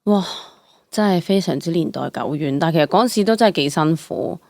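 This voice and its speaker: A young woman with a Hong Kong Cantonese accent chats casually as an interview guest, in an animated, colloquial, exclamatory way. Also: low voice, a little fast, no room sound, clean studio sound. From Hong Kong, Southern District. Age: young adult. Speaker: actress, podcast guest.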